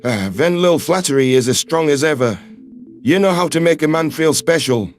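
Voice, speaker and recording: A man's voice: a little high, lively intonation, moderate pace, soft background music, voice pushed down, close to an everyday voice. A man voicing an earnest, lovestruck human ambassador in a sci-fi audio drama, speaking in a heartfelt, pleading way. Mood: wry. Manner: pleading, heartfelt, occasional swearing.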